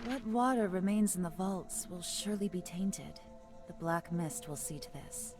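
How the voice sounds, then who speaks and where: A woman playing a grave, wise mystical storyteller in a video game, speaking in a measured, dramatic way. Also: on the low side, lightly acted. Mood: doubtful, grave. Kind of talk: scripted video game acting and narration. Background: loud music.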